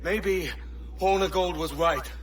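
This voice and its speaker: A man's voice: high voice, takes it slowly, slight background noise. A man playing a brash, ambitious young pirate captain in a video game, blunt and salty. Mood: bitter. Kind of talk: video game cutscene acting.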